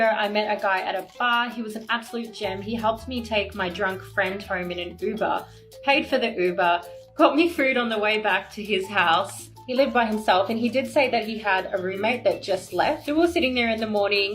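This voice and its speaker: A young woman telling a personal story in a casual, expressive, slangy way. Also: mid-range voice, normal speed, faint music behind. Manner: expressive, acts out dialogue, slangy.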